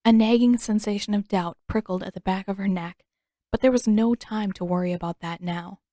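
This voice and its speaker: A woman narrates a scripted adventure story in a medium-pitched voice. The recording is dry and close, like an audiobook. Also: steady tone, a little fast, dark tone, no room sound.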